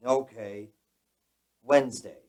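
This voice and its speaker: An actor playing a plain-spoken, obliging coworker in a radio drama, casual and a little hesitant. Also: male voice, mid-range voice, slow, a little mellow, close to an everyday voice. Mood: skeptical but obliging.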